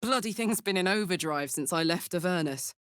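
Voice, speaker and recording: A woman's voice: lowish voice, lively intonation, brisk, a bit bright, clean tone, dry recording, clean studio sound. An actress with a British accent playing a brash, big-hearted, battle-hardened warrior woman in a fantasy video game, blunt and earthy in manner. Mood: wry.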